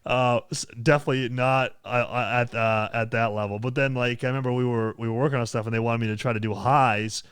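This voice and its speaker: A man's voice: mid-range voice, steady tone, a little fast, hardly any pauses, a bit bright, clear, resonant tone, no room sound, studio quality. A man hosting a casual podcast chat, rambling with frequent restarts and easy laughs. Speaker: podcast host and metal vocalist.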